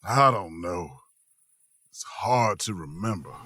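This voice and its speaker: A man playing a sick, weary traveler in an audio drama, narrating in a slow, wry, queasy way. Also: deep voice, expressive, bright, forceful tone, a little hiss or hum, exaggerated voice. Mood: weakly wry. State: queasy, groaning. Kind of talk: first-person narration in audio fiction.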